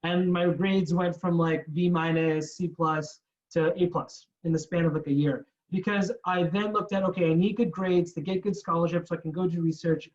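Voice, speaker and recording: A man's voice: on the high side, fairly even intonation, moderate pace, dull audio. A young man from Canada giving a frank, informal talk with slides, restarting his sentences and repeating words often. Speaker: guest speaker.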